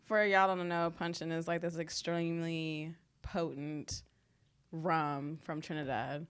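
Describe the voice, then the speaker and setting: A woman's voice: low voice, little pitch movement, measured pace, clean tone. A woman co-hosting a podcast, chatting casually in a playful way and laughing a lot. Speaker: podcast co-host. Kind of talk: casual chat.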